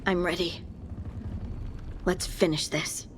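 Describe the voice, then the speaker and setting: A woman's voice: lowish voice, little pitch movement, slow, slight background noise. An actress playing a loyal, determined female fighter in a fantasy game, sincere and plain-spoken. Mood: grateful, determined. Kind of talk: scripted fantasy game dialogue.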